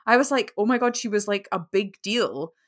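A woman's voice: medium pitch, normal speed. A young woman chatting casually as a podcast guest, rambling with lots of "like" fillers. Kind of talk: casual chat over a call.

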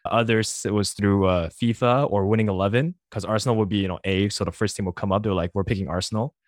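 A male podcast host who talks quickly in a slightly low voice, chatty and full of fillers. He laughs easily as he leads a casual conversation. The sound is close and dry. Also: fairly even intonation, no room sound. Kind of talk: casual interview chat.

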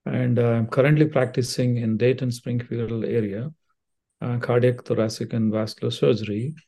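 An older man with an Indian accent explains things in a measured, unhurried way, often pausing on fillers and restarting phrases. His voice has a gravelly, fry-laden edge and a mellow, dark tone. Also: medium pitch, flat delivery. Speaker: cardiothoracic surgeon, guest. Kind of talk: interview answers, explanatory.

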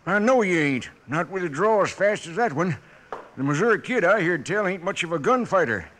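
An actor playing a folksy, hesitant American bartender in a 1950s Western radio drama. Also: male voice, high-pitched, expressive, fairly quick, fairly bright tone. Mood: awed. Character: nervous, folksy Old West saloon bartender. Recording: noisy vintage radio recording.

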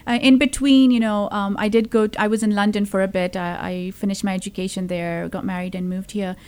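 A woman's voice: medium pitch, little pitch movement, a little fast, a little mellow, clear, resonant tone. A woman in her thirties from Zambia, a podcast guest telling her story in casual talk, earnest, with many fillers and restarts. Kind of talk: casual conversation.

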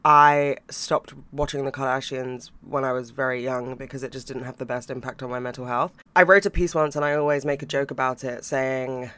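A young man hosting a podcast, chatting casually about books and TV, swearing freely and laughing now and then, his voice a bit croaky. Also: medium pitch, fairly even intonation, brisk, few pauses, bright, forceful tone. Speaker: podcast host.

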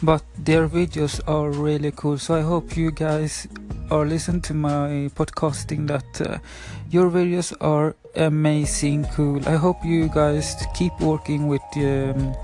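A man's voice: on the high side, level tone, unhurried, mellow, faint music behind. A man in his twenties from Sweden, with a Swedish accent in English and Spanish as his first language, hosting a casual solo podcast, hesitant with many fillers and apologies. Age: 20s. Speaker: podcast host.

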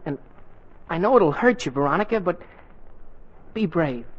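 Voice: medium pitch, lively intonation, normal speed, close to an everyday voice. An actor playing an earnest, melodramatic teenage boy in a 1940s radio comedy, with a hesitant, plaintive manner. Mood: exasperated, dramatic. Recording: muffled 1940s radio broadcast recording.

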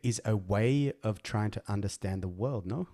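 A man's voice: on the low side, warm tone. A man interviewing a guest in a casual conversation, asking probing questions and checking in with "right?" and "no?". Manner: quick, probing questions ending in tags like "right?".

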